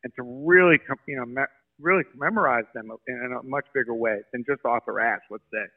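Guest: brisk New man, quick talker, tinny phone-line sound. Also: medium pitch. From Brooklyn, New York. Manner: brisk, animated storyteller. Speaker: sports marketing guest. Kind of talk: podcast interview.

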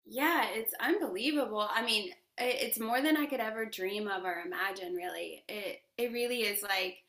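A woman with a bright, clear voice talks as a guest on an interview show. She is effusive and laughs often while she speaks about spiritual matters. Her voice carries a roomy echo from the space she was recorded in. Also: medium pitch, normal speed. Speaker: yoga teacher and podcast guest. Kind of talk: casual interview chat.

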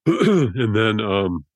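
A middle-aged man, a surgeon guest with a deep voice, rambling through his story in a casual interview. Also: very animated, very slow, no room sound. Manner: rambling, restarts often.

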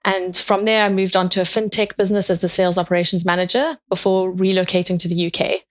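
A woman from South Africa with a South African accent, a guest on a podcast giving measured, methodical answers about her career. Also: on the low side, fairly even intonation, highs cut off. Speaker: guest, sales operations manager.

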